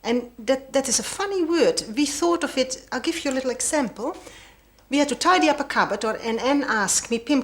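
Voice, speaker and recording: An older woman, thoughtfully explaining a word while searching for the right phrasing. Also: high-pitched. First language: Dutch. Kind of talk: casual anecdote. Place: studio, plain backdrop.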